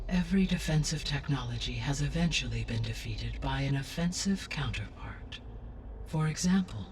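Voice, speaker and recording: An actor playing a calm, benevolent, erudite artificial intelligence in a video game, speaking with measured, precise, formal diction. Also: male voice, mid-range voice, recorded from a distance, noisy background, lightly acted. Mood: measured, explanatory. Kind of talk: scripted video game dialogue.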